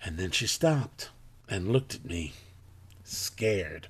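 A man's voice: low-pitched, lively intonation, slightly rough, exaggerated voice. An actor playing a hesitant male farmer who stumbles over his words in a live radio play, recorded at home over a video call. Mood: uneasy. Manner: halting, repeats words. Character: plain hesitant male farmer telling what he saw. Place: home closet or desk. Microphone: home mic over video call.

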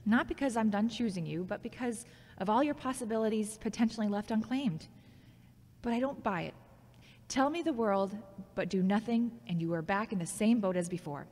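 A woman's voice: mid-range voice, moderate pace, a little mellow, lightly acted. An actress playing a frank, logical, critical yet caring former girlfriend in a scripted audio drama. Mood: skeptical. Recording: long hall-like reverb.

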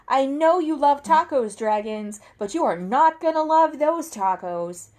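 A woman in her thirties reading a children's picture book aloud at home, expressively, with theatrical emphasis and deliberate phrasing. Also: very high voice. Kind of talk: animated read-aloud to children. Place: indoor room at home, seated at a table.

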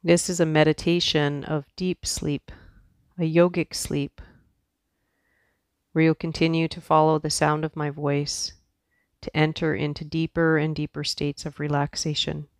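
A woman leads a guided meditation in a soft, soothing way, speaking very slowly with long pauses. Her tone stays very even, with a gravelly creak at the edges. She sounds like a teacher patiently giving relaxation instructions. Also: low voice, dry recording, studio quality.

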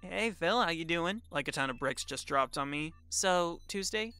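Young wisecracking hero, male actor, higher-pitched, bright and punchy, for a superhero audio roleplay. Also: expressive, normal speed, clean tone, faint music behind. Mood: quippy, alert. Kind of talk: ASMR roleplay audio drama.

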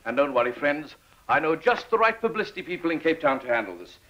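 An actor playing a self-important Member of Parliament with a South African accent, brisk and pompous, in a film comedy. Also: male voice, on the high side, sing-song, sharp tone, lo-fi sound. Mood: self-assured. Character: self-important, busy male small-town Member of Parliament.

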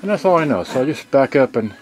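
A man giving an unscripted hands-on demonstration, speaking haltingly in short pieces with pauses, from behind a handheld camera indoors. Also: mid-range voice, sing-song, darkish tone, slight background noise. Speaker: hobbyist presenter showing his boombox collection. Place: indoor workshop with shelves and benches.

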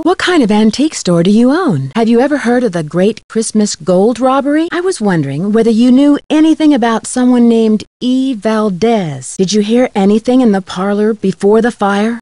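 A woman's voice: a little high, a little mellow, no echo, lightly acted. An actress playing a curious, upbeat young woman detective in a video game, with an inquisitive, scripted delivery. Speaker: game protagonist.

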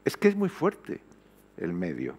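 A man's voice: low-pitched, huge pitch swings, measured pace, a little mellow, slightly rough. An older man speaking as a panel guest on an auditorium stage, reflective and emphatic, with frequent hesitations. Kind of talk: panel discussion. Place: auditorium stage before an audience. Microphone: headset mic.

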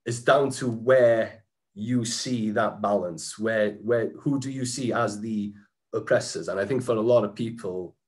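A man's voice: lowish voice, measured pace, darkish tone. A man, giving a casual presentation over a video call, talking in a chatty way with many restarts and fillers. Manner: chatty, frequent restarts and fillers, occasional laughs. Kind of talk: informal slide-talk presentation. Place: small room indoors. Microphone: webcam on a video call.

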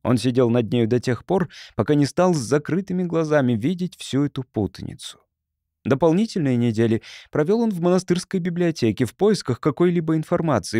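A man's voice: medium pitch, dark tone, no room sound, studio quality. A man narrating a literary audiobook from a script.

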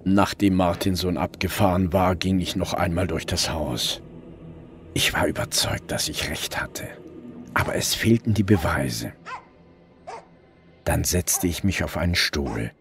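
An actor playing a brooding middle-aged police detective, narrating his case in a measured way for a crime radio drama. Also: low voice. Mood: tense, measured. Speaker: narrator and lead investigator. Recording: music bed under voice. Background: music.

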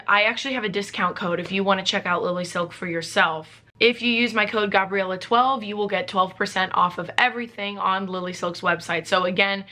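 A young woman vlogging from a living room, talking casually to the camera, with a low voice while she is sick. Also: pushed and bright. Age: young adult. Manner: chatty, upbeat, lists items quickly. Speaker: beauty vlogger. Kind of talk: casual sponsored vlog talk. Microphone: camera facing her, mid-shot.

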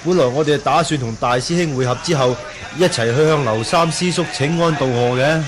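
An actor playing a young martial-arts disciple in a Hong Kong Cantonese radio drama, respectful, formal and pleading. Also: male voice, on the high side, a little fast, close to an everyday voice. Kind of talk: 1980 radio drama acting. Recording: old radio drama with crowd behind.

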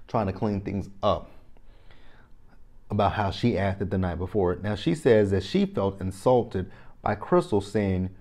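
A man from Texas in his thirties with a Texan accent, giving an animated, opinionated reality TV recap straight to camera on a lapel mic in a small room. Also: fairly low pitch. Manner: animated, repeats phrases, talks straight to viewers. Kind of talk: casual opinionated review to camera. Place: small indoor room, plain backdrop.

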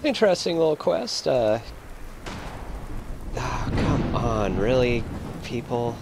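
Brief: male gamer, very slow and lively in pitch, chuckling through his commentary, with loud rain and thunder behind. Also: creaky, background noise. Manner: slow, laughing, filler-heavy. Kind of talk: let's play commentary.

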